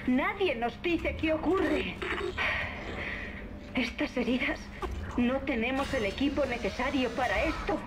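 Overwhelmed female medic, high and urgent, on a noisy video game log. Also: a little slow, pauses often. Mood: strained. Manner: urgent, distressed. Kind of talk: dramatic video game acting.

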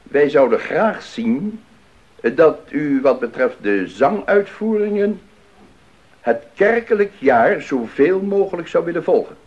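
A self-important official in a radio drama, a man with a fairly high, animated voice. He lectures formally and with emphasis. The recording lacks crispness. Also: slow pace. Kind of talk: Dutch radio drama acting. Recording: dull 1980s radio recording.

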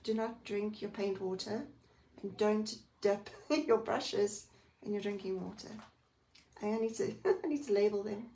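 A woman hosting a live Bible journaling stream, chatty and friendly, greeting viewers by name, a little croaky today. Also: fairly high pitch, darkish tone. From South Africa. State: slightly croaky. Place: indoors at a craft table. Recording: distant mic, faint room noise.

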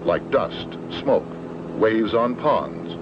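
An American man giving measured, matter-of-fact, formal instructional narration in the manner of a 1970s training film. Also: on the low side, lively intonation. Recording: dull, noisy old 16mm film soundtrack.

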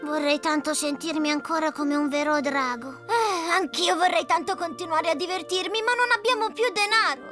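A little cartoon sea creature with a tiny, very high child's voice. The delivery is earnest and exclamatory, tender-hearted and eager. Music plays behind it. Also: normal speed. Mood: eager, wistful. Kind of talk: Italian-dubbed animated cartoon acting.

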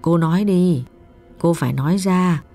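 A man's voice: a little high, normal speed, warm tone, soft background music, pitched above the performer's own voice, lightly acted. A man voicing a female spirit medium who urges and coaxes firmly, in a raised voice. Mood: urgent coaxing. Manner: urgent, insistent urging. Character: female medium, firm, coaxing and insistent. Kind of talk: audiobook narration.

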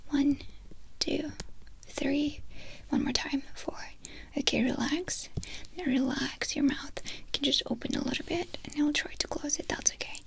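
A young woman plays a doctor in an ASMR exam, speaking in a breathy whisper close to the mic. Her voice sits high with a noticeable crackle. She gives gentle, reassuring instructions. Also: a little slow. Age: young adult. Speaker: ASMR performer playing a doctor. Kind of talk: ASMR medical exam roleplay. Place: small indoor room dressed as a clinic. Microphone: close microphone in front of the speaker.

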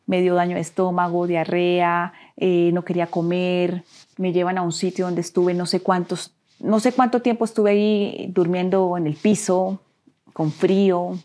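Earnest Colombian woman, hushed and halting. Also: lowish voice, steady tone. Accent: Colombian Spanish. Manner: earnest, halting, repeats words, drops to near-whisper at heavy moments. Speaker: interview guest. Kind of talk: emotional personal storytelling.

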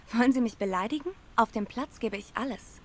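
A woman's voice: fairly high pitch, normal speed, close to an everyday voice. Actress as sardonic young cat woman, noisy backdrop. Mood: defiant. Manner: sardonic, cool. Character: poised, sharp-tongued young female cat tennis star. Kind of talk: cinematic noir game acting.

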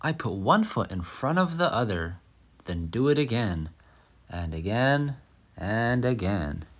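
A man reading a children's picture book aloud as an expressive, dramatic storyteller. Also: on the low side, unhurried.

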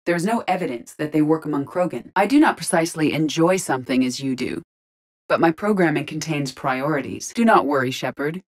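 A woman playing a calm, courteous artificial intelligence in a video game, speaking with precise, formal, measured diction and a deadpan manner. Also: low voice. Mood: composed, matter-of-fact. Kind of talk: scripted video game dialogue.

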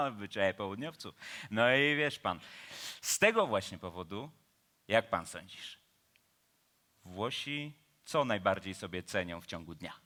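Operetta radio play: male actor as roguish, laid-back Parisian gent, colloquial and teasing, slow drawling delivery, forward bright tone. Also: fairly low pitch, lively intonation. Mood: amused teasing. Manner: colloquial, teasing, chuckling, drawn-out theatrical phrasing. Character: jovial, cheeky Parisian man about town, laid-back charmer.

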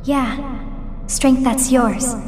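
A woman playing a gentle, encouraging teenage girl in a video game cutscene. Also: high-pitched, steady tone, extremely slow. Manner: soft, encouraging. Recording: echoey, dreamlike reverb with music.

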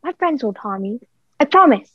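Woman playing earnest young ship's boy, plucky, high voice, amateur radio play, roomy dull recording. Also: big pitch swings, moderate pace. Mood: urging. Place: indoors, plain room, remote recording.

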